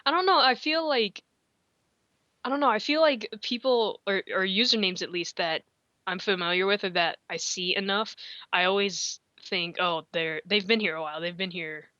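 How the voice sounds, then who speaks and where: A woman's voice: medium pitch, moderate pace, pushed and bright, dry recording, muffled recording. A woman hosting a casual podcast, rambling and self-deprecating, laughing often. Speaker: podcast host. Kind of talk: casual chat.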